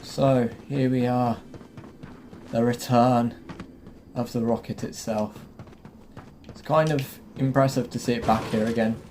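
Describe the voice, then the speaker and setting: A man's voice: medium pitch, fairly even intonation, measured pace, pauses often. A man giving casual gameplay commentary as the host of a gaming video. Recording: clear game noise behind the voice. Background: game ambience and vehicle noise.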